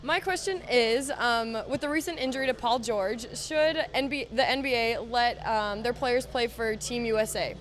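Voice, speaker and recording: A woman in her twenties putting a halting question to a panel in a sports bar. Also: a little high, soft background music. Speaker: audience questioner.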